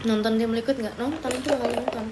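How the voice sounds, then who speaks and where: A woman's voice: on the high side, fairly even intonation, fast talker, very noisy. A young woman live streaming, chatting casually as she answers viewer comments, often slipping into English mid-sentence while eating and sipping a drink.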